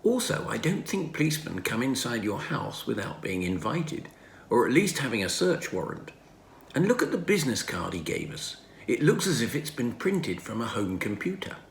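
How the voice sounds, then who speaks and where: A man's voice: mid-range voice, sing-song, normal speed, creaky. A man voicing a thoughtful, observant boy in a children's storybook, earnest and emphatic. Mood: wary. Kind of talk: children's storybook character reading. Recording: roomy home recording, faint noise.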